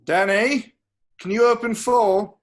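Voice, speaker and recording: A man's voice: sits high, steady tone, takes it slowly. A man playing a wry, unbothered village desk sergeant in an amateur script reading. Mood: calling out. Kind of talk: amateur screenplay table read.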